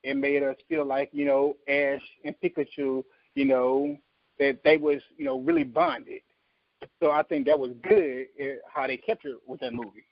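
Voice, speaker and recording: A man co-hosting a podcast in casual discussion, speaking informally and a little haltingly. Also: on the high side, expressive, vocal fry, dry recording. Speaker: podcast co-host. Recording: dull, muffled lo-fi audio.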